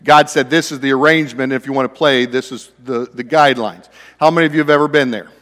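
Loud-voiced preacher in a reverberant hall. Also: male voice, mid-range voice, fairly even intonation, quick pace. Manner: conversational preaching with self-deprecating humor. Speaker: pastor. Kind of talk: sermon.

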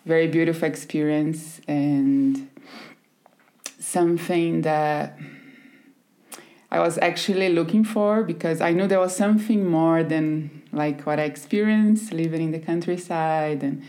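A woman in her thirties with a Brazilian Portuguese accent, a podcast guest telling her life story in a relaxed way, laughing softly often. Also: low-pitched, a little slow. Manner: warm storytelling with frequent soft laughs. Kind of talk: casual conversation.

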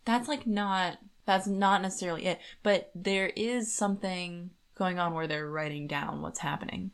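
Low-pitched woman chatting as podcast co-host. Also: moderate pace. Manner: colloquial, acts out quoted lines conversationally. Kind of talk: casual book discussion chat.

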